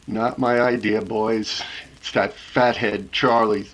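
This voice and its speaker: A man playing a folksy, grumbling sound engineer in a 1930s radio drama, talking in slangy, halting phrases. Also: lowish voice, steady tone, fairly bright tone, slight background noise, rough recording.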